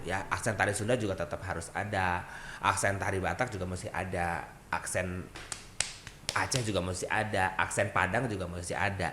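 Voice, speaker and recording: A young adult man, a dance group founder and trainer, chatting on a podcast in an animated way. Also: low voice, moderate pace, slight background noise. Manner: animated, sings melodies and acts out quoted voices. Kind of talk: casual podcast conversation.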